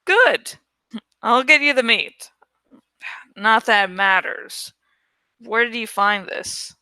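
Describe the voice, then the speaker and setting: A woman voicing a gruff, surly, calculating male village butcher in a storybook reading, curt and impatient. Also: on the high side, animated, slow pace, sharp tone, no echo, voice pushed down, full character voice. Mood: brusque.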